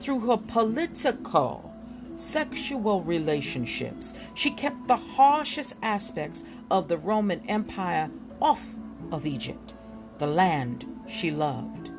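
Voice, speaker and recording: A woman with a slightly low voice reads aloud at a very slow, deliberate pace. Her delivery is expressive and dramatic, in the style of a narrated book presentation. Music plays clearly behind her. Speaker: reader presenting a book chapter.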